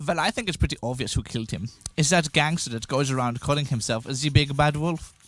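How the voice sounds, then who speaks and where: A man's voice: a little high, fairly quick, fairly bright tone. A man acting a fussy, earnest baby-faced pig in a comic radio play. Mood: earnest, aggrieved. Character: fussy baby-faced pig, bereaved brother, storybook character.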